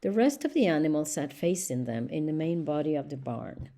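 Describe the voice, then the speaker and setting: A woman reading a storybook aloud as scripted narration, with measured, deliberate phrasing. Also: sits low, big pitch swings, dark tone. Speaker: audiobook reader.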